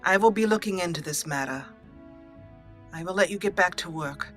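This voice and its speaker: Bright-voiced woman narrating a game roleplay. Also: lowish voice, normal speed. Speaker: let's play host. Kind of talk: roleplay storytelling over gameplay. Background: faint game music.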